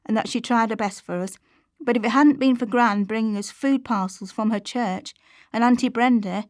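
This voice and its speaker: A woman's voice: a little high, no room sound, clean studio sound, natural voice. A woman playing a woman who reflectively recalls a hungry childhood in a radio play monologue.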